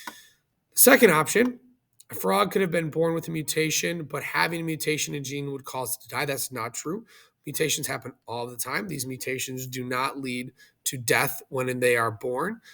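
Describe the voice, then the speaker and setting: A male teacher narrates a video lesson in a mid-pitched voice with plenty of gravelly fry. He explains step by step in an instructional way, putting questions to the viewer to check understanding. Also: steady tone, normal speed, fairly bright tone.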